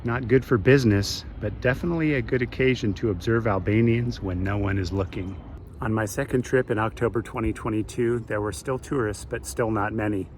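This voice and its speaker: A man in his late fifties from the west coast of the United States, narrating a travel vlog in a measured, reflective way. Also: on the low side, slight background noise. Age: 50s. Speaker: travel vlogger. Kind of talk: scripted voice-over narration.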